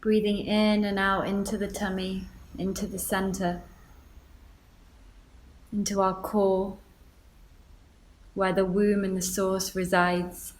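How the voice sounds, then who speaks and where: A woman leading a guided meditation, gently giving instructions with deliberate pauses. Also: medium pitch, little pitch movement, low-quality recording.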